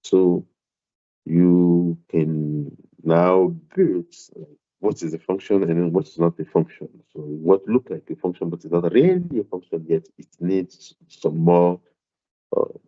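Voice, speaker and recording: Deep-voiced man teaching an online class. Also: measured pace. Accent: non-South African, foreign to English. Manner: hesitant, many repeated words and fillers. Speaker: maths lecturer. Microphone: computer mic on a video call. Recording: dull lo-fi online audio.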